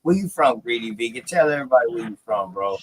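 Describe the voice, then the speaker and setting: A man's voice: mid-range voice, lively intonation, fast, breathy edge. A man hosting a casual live panel chat, slangy and jokey, teasing and often repeating himself. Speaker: host of an open live panel.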